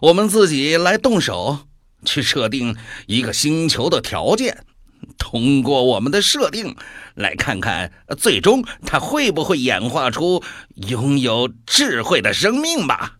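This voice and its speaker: A man playing a bored, mocking devil in an audio drama, with a theatrical, drawn-out delivery. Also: medium pitch, wildly swooping pitch, fairly bright tone, dry recording, clean studio sound, exaggerated voice. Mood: sly, scheming. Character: mocking, bored male devil who favours death.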